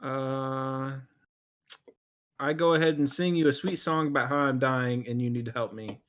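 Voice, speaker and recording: A man's voice: mid-range voice, fairly even intonation, moderate pace, a bit bright. A man playing a tabletop game, chatting casually and deliberating hesitantly as he announces his moves.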